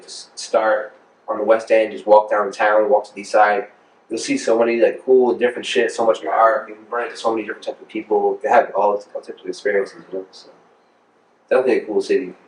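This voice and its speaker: Fast-talking American man, roomy echo. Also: on the low side, distant mic. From Rhode Island, United States. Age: 30s. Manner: informal, slangy, rambling. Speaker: music producer being interviewed. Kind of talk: casual interview chat. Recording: roomy, echoing, off the mic.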